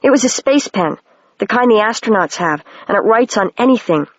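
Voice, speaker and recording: A reader voicing a bold, curious young girl who is a wizard, slightly higher than their plain reading voice, on a dry, dull lo-fi home recording. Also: lively intonation, a little fast, dry recording, natural voice.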